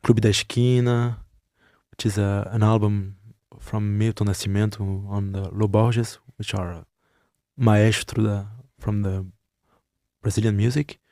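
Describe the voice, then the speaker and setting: A young man from France who speaks natively, talking haltingly in an interview, searching for words with many pauses. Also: fairly low pitch, flat delivery, dark tone, no echo, studio quality. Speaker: musician guest. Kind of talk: interview answers.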